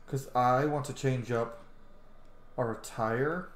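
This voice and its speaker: A man's voice: mid-range voice, fairly even intonation, takes it slowly. A man in his thirties giving playful, sweary, casual commentary while playing a video game. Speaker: game streamer. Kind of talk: casual let's play commentary. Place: small indoor room. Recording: roomy echo, game music behind. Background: video game music and effects.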